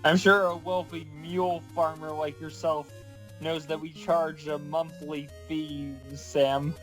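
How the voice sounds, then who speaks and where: A man's voice: on the high side, flat delivery, takes it slowly, a bit bright, faint music behind, poor audio. A man in his twenties chatting casually as a vlog co-host, speaking in short, offbeat remarks. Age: 20s. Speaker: podcast co-host.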